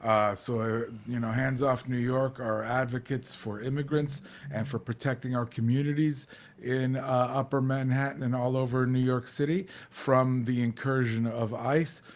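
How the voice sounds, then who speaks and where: A middle-aged man, co-host of a community talk show, explaining things in an earnest, halting way. He restarts his sentences often and fills the gaps with "uh" and "you know." His voice sits at an ordinary middle pitch. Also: steady tone. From United States. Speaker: podcast co-host.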